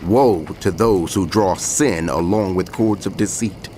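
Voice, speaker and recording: A man's voice: deep voice, a little slow, a little mellow, a little hiss or hum. A man playing a solemn prophet who proclaims woe in an emphatic, declamatory way in dramatized scripture narration. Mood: grave warning.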